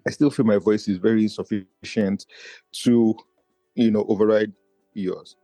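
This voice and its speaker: A man's voice: fairly even intonation, moderate pace, dark tone, no echo, soft background music. A man, a podcast guest talking in an earnest, searching way and often restarting his thoughts. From Nigeria. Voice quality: low voice he feels does not carry. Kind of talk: reflective personal conversation.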